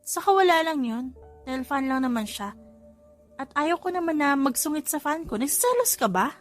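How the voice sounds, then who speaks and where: Woman acting a feisty young Filipino mother, high-voiced. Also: big pitch swings, normal speed, soft background music. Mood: defensive.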